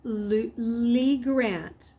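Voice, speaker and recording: Woman voicing a high, stammering, nervous boy. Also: drawn-out words, warm tone, full character voice. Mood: flustered, hesitant. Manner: stammering, hesitant, flustered. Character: nervous, stammering boy telling lies, easily panicked. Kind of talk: storybook character voice. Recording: clearly noisy background.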